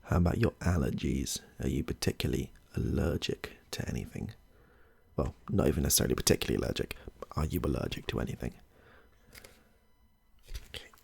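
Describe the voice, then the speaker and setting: A man playing a chatty, affable medical intake clinician in a soft-spoken ASMR roleplay, hesitant and reassuring, with a husky, gravelly voice. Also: a bass voice, fairly quick, heavily acted. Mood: attentive, mildly flustered. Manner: hesitant, rambling, reassuring, murmurs to himself.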